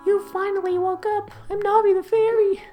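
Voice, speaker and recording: A man voicing a tiny bossy female fairy guide in a squeaky, nagging voice. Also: little pitch movement, normal speed, dark tone, faint music behind. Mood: cheerful.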